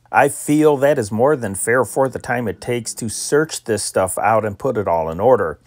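A man's voice: lowish voice, no room sound, clean studio sound. An American man hosting an old-time radio programme, introducing it in an informal, prepared style. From Chicago area, United States.

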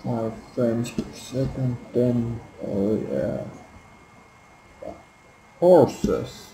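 Quiet, creaky Finnish-accented man. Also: medium pitch. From Finland. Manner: quiet, hesitant, halting, frequent swearing. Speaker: gameplay video maker. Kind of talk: casual gameplay commentary. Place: home room. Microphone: built-in laptop mic. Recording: echoey, noisy laptop mic recording.

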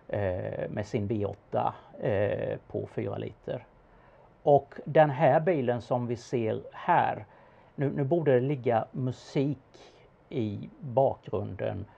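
A man giving casual expert commentary in a conversation, speaking haltingly with pauses in mid-sentence. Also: medium pitch, gravelly, mellow, highs cut off. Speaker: car expert answering questions about an auction car.

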